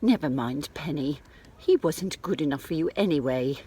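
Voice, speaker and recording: Husky, consoling vicar's wife, acted by a woman. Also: low-pitched, animated, normal speed, warm tone.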